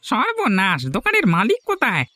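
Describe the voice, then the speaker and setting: A woman voicing a loud, indignant customer in a cartoon who scolds and accuses to stir up trouble. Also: on the high side, huge pitch swings, a little fast, full character voice. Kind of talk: cartoon story voice acting.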